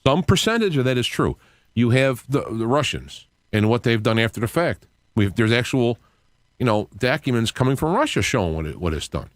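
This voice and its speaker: An older man, around sixty, hosting a radio show with an earnest, reflective, unscripted monologue in halting phrases. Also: mid-range voice, no room sound, studio quality. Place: cluttered home radio studio, indoors. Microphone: close studio microphone with headset.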